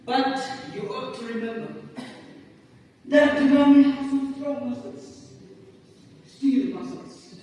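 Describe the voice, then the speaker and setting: An amateur performer acting as a boisterous young village boy in a stage play, with a very high voice. The lines are declaimed slowly and stiffly, each phrase set apart. The sound is distant and swims in heavy echo. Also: steady tone, a little mellow. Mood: explaining, boastful. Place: large reverberant hall, recorded from afar. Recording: very echoey hall, distant mic, faint noise.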